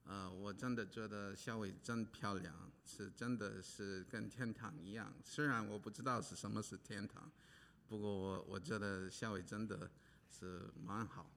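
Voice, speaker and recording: A man from Hawaii speaking as a pastor, halting with many pauses and fillers while an interpreter follows him. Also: fairly low pitch, fairly even intonation, fairly bright tone, slight background noise. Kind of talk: preaching with interpreter. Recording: long hall reverb.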